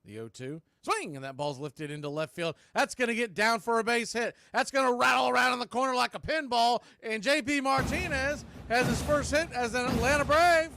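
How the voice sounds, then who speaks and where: A man doing live baseball play-by-play on radio, speaking in clipped phrases with pauses between calls. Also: very high-pitched, gravelly, sharp tone.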